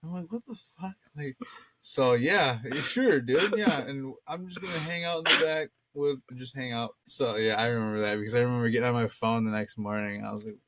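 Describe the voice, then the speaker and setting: A young man telling stories in a casual chat, animated and slangy with plenty of swearing and acted-out quotes. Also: medium pitch, fairly quick. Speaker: co-host.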